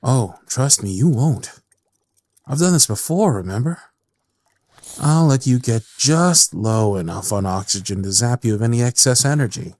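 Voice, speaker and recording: A man playing a sadistic, mocking evil scientist in an audio drama, with a drawn-out, menacing delivery. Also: on the low side, darkish tone, no echo. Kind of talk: dramatic audio roleplay acting. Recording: music under the voice.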